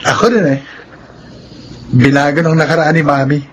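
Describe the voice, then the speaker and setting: Radio drama role: polite, caring young man, male actor, pitched a little high, light noise behind. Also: fairly even intonation, moderate pace, natural voice. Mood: earnest. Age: young adult.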